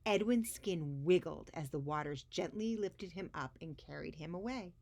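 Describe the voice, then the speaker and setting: A woman's voice: deep voice, moderate pace, creaky, a little mellow. A woman hosting a story time for young children, speaking expressively as she shares a picture book.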